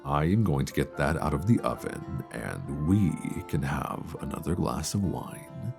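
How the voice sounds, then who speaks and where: A man playing a tender, nervous longtime friend confessing love in an intimate ASMR romance roleplay, with a deep voice and hesitant, earnest delivery, close to a binaural mic. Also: sing-song, vocal fry, over music, full character voice. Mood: warm, inviting. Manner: hesitant, soft, earnest, with sighs and pauses. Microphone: close binaural microphone.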